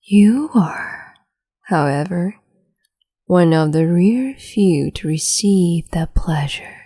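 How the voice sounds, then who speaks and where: Mean empress for asmr, breathy low whisper, super slow and mellow. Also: female voice, animated, exaggerated voice. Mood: cruel teasing. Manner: whispered, slow, teasing and domineering. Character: cruel capricious female empress, adult. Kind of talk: ASMR audio roleplay.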